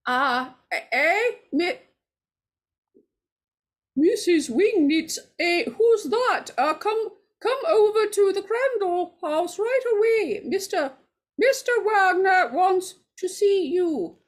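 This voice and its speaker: An actress playing a nervous, evasive, scheming married woman in 1940s radio horror style, stammering and halting as she talks. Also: very high-pitched, expressive, full character voice. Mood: flustered, urgent.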